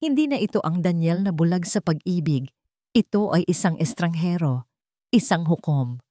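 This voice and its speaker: A woman storyteller reading a scripted drama in deliberate, short dramatic phrases. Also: on the low side, expressive, dark tone, no echo, studio quality.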